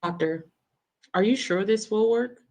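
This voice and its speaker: Woman acting earnest, mid-pitched ward staffer. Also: a little slow, a little mellow, close to an everyday voice. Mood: concerned. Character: polite, concerned female ward staff member. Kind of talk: amateur screenplay table read.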